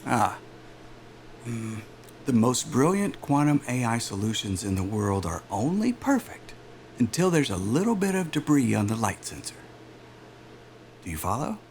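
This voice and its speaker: A man playing a self-assured, smooth-talking aerospace executive in a naturalistic audio drama, with a polished, salesman-like manner. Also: mid-range voice, big pitch swings, moderate pace, pauses often, a little mellow, slight background noise. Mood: measured, careful.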